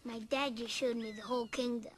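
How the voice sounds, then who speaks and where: A woman voicing a cocky, excitable young lion cub in an animated film, brash and expressive. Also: on the high side, a little fast, noisy background, natural voice. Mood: cocky, boastful.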